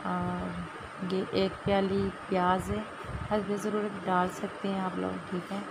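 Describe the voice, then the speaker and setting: A woman walks through a home cooking recipe step by step, often checking with a quick "theek hai". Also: medium pitch, flat delivery, creaky. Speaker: cooking channel host. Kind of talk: casual cooking demonstration. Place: home kitchen, over a stove. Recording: loud kitchen noise behind, sizzling frying.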